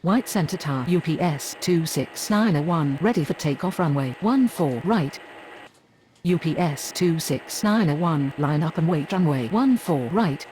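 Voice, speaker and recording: A man's voice: fairly high pitch, lively intonation, unhurried, a little mellow, poor audio. A man speaking clipped, procedural air traffic control radio phrases. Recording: clear engine-like background noise.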